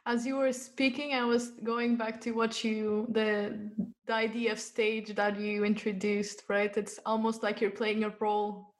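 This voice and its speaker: A woman's voice: medium pitch, little pitch movement, unhurried. A woman interviewing a guest in a casual conversation, thinking aloud and tagging her points with "right?". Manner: thoughtful, tags questions with "right?".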